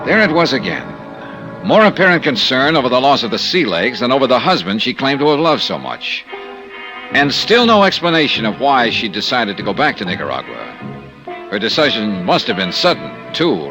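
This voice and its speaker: An actor playing a wry, self-assured American investigator in a 1950s radio detective drama, with a clipped hard-boiled delivery. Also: male voice, mid-range voice, big pitch swings, fairly quick, over music, low-quality recording. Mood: wry narrating.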